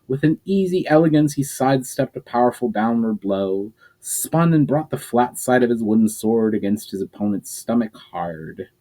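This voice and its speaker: A man reading a fantasy novel aloud as a storyteller, expressive, with occasional slips and restarts. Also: mid-range voice, normal speed, few pauses.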